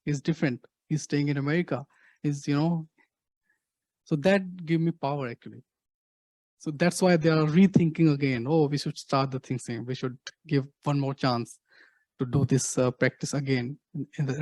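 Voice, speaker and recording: A middle-aged man with an Indian accent answering audience questions in a halting way, full of fillers and repeated "actually". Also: fairly high pitch, fairly even intonation, darkish tone, dry recording, poor audio. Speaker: guest speaker, activist.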